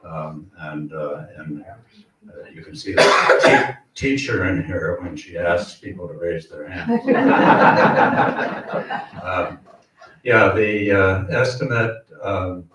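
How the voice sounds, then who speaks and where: A man on a legislators' panel, answering a question at a public forum in a measured, halting way with many pauses. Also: deep voice, fairly bright tone. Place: community meeting hall. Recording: roomy hall, distant mic, faint background noise.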